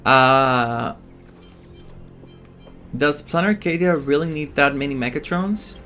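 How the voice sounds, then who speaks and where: A man's voice: on the high side, slow, pushed and bright, soft background music. A man giving casual, rambling, reactive commentary as he streams a game playthrough. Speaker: gaming streamer.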